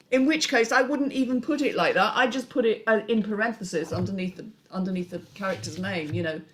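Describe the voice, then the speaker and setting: A woman's voice: mid-range voice, brisk, a little hiss or hum. A woman hosting a casual script-reading panel discussion, speaking frankly with the odd casual swear word.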